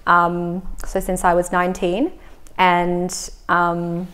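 A woman in her thirties from Australia, speaking as a yoga and breathwork teacher. Her voice sits a little low, and she explains things calmly with frequent fillers and a relaxed pace. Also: slow. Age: 30s. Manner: calm, explanatory, many "um"s and "like"s. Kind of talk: casual interview answers.

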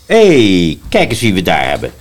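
A courteous police officer in a radio play. He speaks in a polite, helpful way, and his pitch moves up and down freely. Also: wildly swooping pitch, normal speed, a little hiss or hum. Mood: friendly. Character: friendly middle-aged male police officer. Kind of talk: radio drama acting.

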